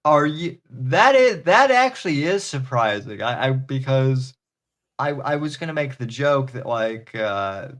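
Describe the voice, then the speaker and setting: A man's voice: mid-range voice, very animated, unhurried, a bit bright. A man hosting a casual podcast, rambling with hesitant restarts and crude humor. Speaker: podcast host. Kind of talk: casual chat.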